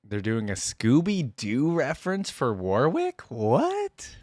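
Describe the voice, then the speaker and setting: A man's voice: medium pitch, wildly swooping pitch, slow, darkish tone, no echo, studio quality. A man giving casual reaction commentary, informal and musing aloud as he goes. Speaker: reaction video host.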